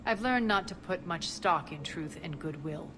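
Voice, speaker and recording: A woman playing a ruthless, imperious crime boss in a video game, commanding, sardonic and deliberate. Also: on the low side, animated, background noise. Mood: brisk, businesslike. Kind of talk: dramatic video game voice acting.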